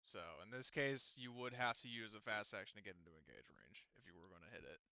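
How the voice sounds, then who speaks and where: A man's voice: on the low side, fast talker, vocal fry, bright, forceful tone, no room sound, lo-fi sound. A man acting as game master in a casual tabletop roleplaying session, thinking aloud as he works through rules and counts.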